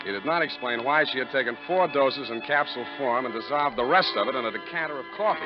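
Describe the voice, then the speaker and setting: A man's voice: mid-range voice, fast talker, close to an everyday voice. A dull old 1940s radio recording with music behind it. A hard-boiled private detective played by a male actor talks in clipped, sardonic lines. He is tough and wry, both telling his story and grilling suspects. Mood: wry, accusing.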